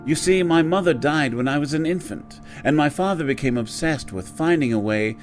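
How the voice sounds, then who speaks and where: An actor playing the courtly, ominous master of a haunted mansion inn, speaking formally and with measure in a horror audio drama. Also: male voice, a little high, normal speed, faint music behind, close to an everyday voice. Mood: grave storytelling.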